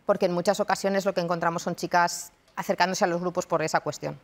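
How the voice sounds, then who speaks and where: A woman in her thirties from Madrid speaks in a fairly low voice, choosing her words with care. She restarts phrases as she thinks, in a calm, reflective interview tone. It sounds like a TV studio. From Madrid, Spain. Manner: thoughtful, measured, frequent restarts. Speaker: interview guest, university researcher and lecturer. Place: television studio.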